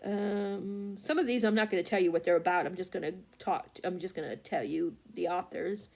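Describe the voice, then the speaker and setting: Woman book vlogger, halting casual chat. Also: lowish voice, creaky, darkish tone. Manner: halting, scattered, often losing her thread and correcting herself. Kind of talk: casual rambling chat about books.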